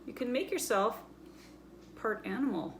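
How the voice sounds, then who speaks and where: A woman's voice: fairly high pitch, expressive, moderate pace, a little hiss or hum. A woman presenting a children's story time, chatting casually and playfully as she shows things and explains them simply. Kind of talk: casual show-and-tell for children.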